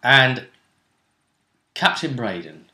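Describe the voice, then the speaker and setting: A man's voice: medium pitch, very animated, slow, background noise. A man casually recapping a tabletop role-play tournament as game master, talking emphatically and dramatically, repeating phrases for effect. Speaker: game master and vidcast host. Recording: roomy, echoey room sound.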